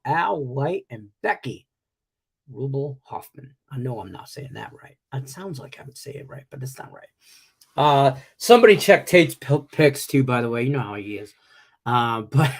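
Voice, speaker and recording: Congested, joking middle-aged man. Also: medium pitch. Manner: loose and joking, rambling with many fillers. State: congested with a cough, voice a bit cracky. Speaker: sports betting show host. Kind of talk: casual live-stream chat.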